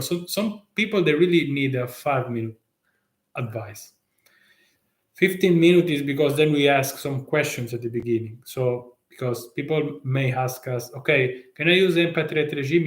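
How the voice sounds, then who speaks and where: A man with an Italian accent, a tax consultant talking live to viewers in halting, restart-filled English with rhetorical questions. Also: a little high, echoey room. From Italy. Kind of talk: live stream talk.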